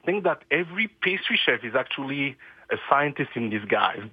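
A man's voice: medium pitch, sing-song, brisk, slightly rough, no echo, lo-fi sound. A man from France, guest on a radio interview, talking earnestly with hesitant restarts.

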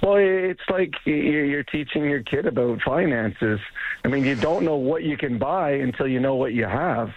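A man with a slightly rough voice calling in as a sports analyst, rambling with restarts. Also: medium pitch, animated, normal speed. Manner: rambling, restarts, laughs easily. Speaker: radio analyst guest. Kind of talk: phone-in sports chat. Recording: tinny phone line.

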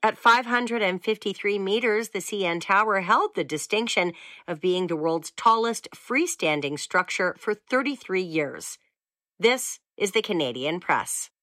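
A woman reading a scripted newscast in an even, measured way. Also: mid-range voice, no echo, clean studio sound. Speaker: newsreader.

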